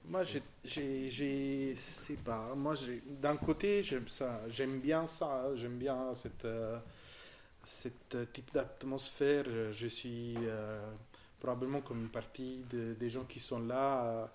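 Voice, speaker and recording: A man in his forties from southern Italy, with an Italian accent, talking casually about an art exhibition and often pausing to search for French words. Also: mid-range voice, fairly even intonation, a little mellow, a little hiss or hum. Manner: hesitant, searching for words, many restarts. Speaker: visitor discussing an exhibition. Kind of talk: casual conversation.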